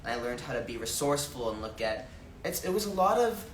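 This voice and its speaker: A man's voice: mid-range voice, big pitch swings, fast talker, far from the mic. A young man with an American accent talks casually and expressively as a guest on a live stream. He sits some way from the mic in an echoey room, with a faint hiss behind him. His manner is informal and exclamatory. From Chicago area, United States. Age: young adult. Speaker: interview guest. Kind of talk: casual chat. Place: indoor room, live social media stream.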